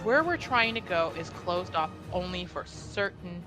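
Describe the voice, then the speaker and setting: A woman's voice: fairly low pitch, animated, a little slow, music in the background. A woman chatting casually as a player in a tabletop roleplaying game.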